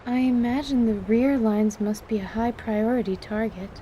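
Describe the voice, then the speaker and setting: Placid female surgeon for fantasy audio drama woman actor, high-pitched, formal, precise bedside manner, faint noise behind. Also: steady tone, normal speed, mellow, close to an everyday voice. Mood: earnest, inquisitive. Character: female field healer, placid, clinical and earnestly inquisitive.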